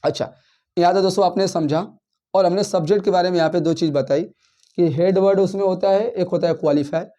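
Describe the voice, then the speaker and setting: A man's voice: high voice, fairly even intonation, brisk, mellow. A male English teacher giving a grammar lesson in speech, who keeps asking his listeners questions and then answering them himself. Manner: addresses listeners as friends, asks and answers his own questions; counts words aloud, repeats and rephrases points.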